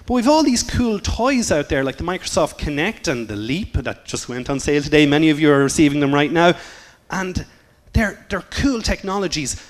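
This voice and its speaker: A man from Ireland with an Irish accent giving a joking, lively presentation at a conference. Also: a little high, normal speed, few pauses. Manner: joking, lively, self-deprecating. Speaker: conference speaker. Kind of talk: fast-paced humorous presentation talk.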